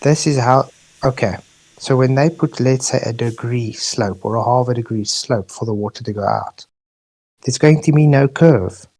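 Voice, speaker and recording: A man's voice: mid-range voice, moderate pace, a little mellow. A man from South Africa hosting a heated online debate and pressing for yes or no answers. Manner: insistent, pressing questions.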